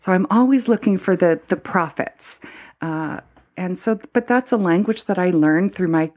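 A middle-aged Canadian woman, a former priest, speaking reflectively in an interview with many pauses, fillers and restarts. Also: fairly low pitch, a little mellow. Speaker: former Anglican priest, guest.

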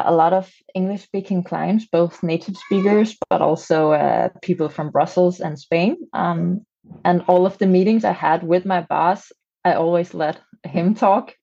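Hesitant Danish woman, low bright-less dull sound. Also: gravelly. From Denmark. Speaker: podcast guest, communications consultant. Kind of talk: conversational interview answers.

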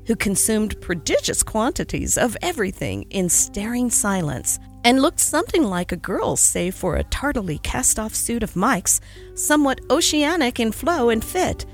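A woman narrates a classic story aloud with expressive, lilting delivery. Her pitch moves in a lively way through each sentence. Quiet music plays in the background. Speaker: podcast host and story narrator.

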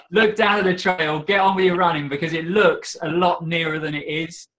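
A man with a slightly croaky voice, a podcast guest chatting casually about trail running, laughing often and filling pauses. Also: a little high, steady tone, a bit bright. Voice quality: laughs often, easygoing, says "um" and "you know" often.

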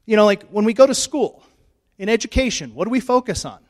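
A middle-aged male pastor, hoarse and losing his voice, giving a chatty, self-joking sermon in church on a handheld mic. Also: high-pitched, animated, fast. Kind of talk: informal sermon. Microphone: handheld microphone.

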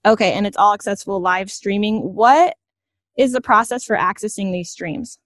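A woman's voice: mid-range voice, normal speed, dry recording, clean studio sound. A woman hosting a casual interview in a chatty, agreeable way. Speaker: interviewer, host.

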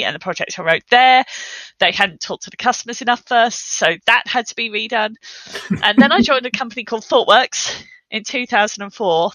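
A middle-aged woman with a bright, forward voice, recorded close and dry. She talks as an interview guest in a chatty, emphatic storytelling way, now and then correcting herself. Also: mid-range voice, no echo, low-quality recording. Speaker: podcast guest, software consultant.

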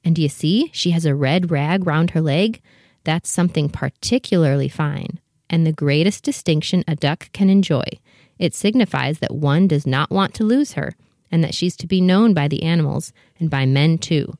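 A woman's voice: sits low, normal speed, darkish tone, dry recording, clean studio sound. A woman playing a proud, fussy, protective mother duck in a storybook dramatization, bustling and motherly as she instructs. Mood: proud, instructive. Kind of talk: full cast storybook dramatization.

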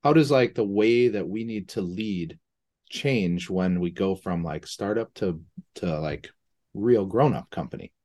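A man with a very low voice hosts a casual interview. He builds his questions loosely and informally, feeling his way through them with plenty of filler words. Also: measured pace, mellow. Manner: informal, hedging questions full of "like". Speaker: host, interviewer.

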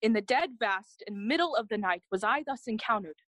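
Loyal young companion to a prince, higher voice, earnest, formal Shakespearean stage speech. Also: female voice, animated, brisk, a bit bright, dry recording. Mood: grave, awed. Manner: earnest, formal, clearly declaimed. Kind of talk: bilingual Shakespearean audio play acting.

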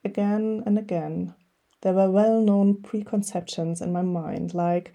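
A woman's voice: mid-range voice, fairly even intonation, measured pace, gravelly, mellow. A middle-aged woman from Germany with a German accent, hosting her podcast and reading an earnest, encouraging script. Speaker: podcast host.

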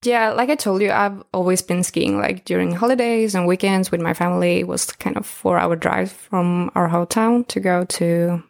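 A woman in her twenties from northern Sweden, speaking English with a Swedish accent in a relaxed, chatty interview. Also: lowish voice, normal speed, creaky, hardly any pauses. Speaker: guest. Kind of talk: casual interview talk.

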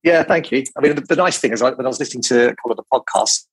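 A man in his forties, a recruitment business leader talking as a podcast guest in a quiet, calm, measured way with frequent restarts. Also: mid-range voice, husky, no echo. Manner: quiet, calm, many restarts and repeated words. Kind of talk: measured business interview talk.

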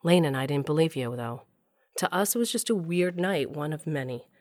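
A wry middle-aged storyteller narrates in a low female voice. She is confiding and intimate, as in an audio drama, recorded close and dry. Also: lively intonation, normal speed, a little mellow, no room sound. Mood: wry, reminiscing. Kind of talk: first-person scripted narration in audio drama.

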